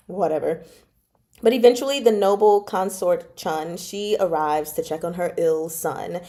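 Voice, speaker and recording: A woman chatting casually as host, retelling a novel to viewers in an animated, giggly, slangy way and acting out reactions. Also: on the low side, measured pace. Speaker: book club host and storyteller.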